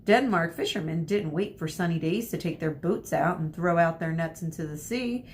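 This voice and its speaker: A woman teacher reading a storybook aloud expressively and deliberately, pausing to explain to her listeners. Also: expressive. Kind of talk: storybook read-aloud with short asides. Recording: noisy with low rumble.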